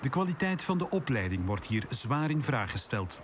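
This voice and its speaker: A man's voice: medium pitch, very animated, moderate pace, noisy background. A man with a Flemish accent reading a scripted news report.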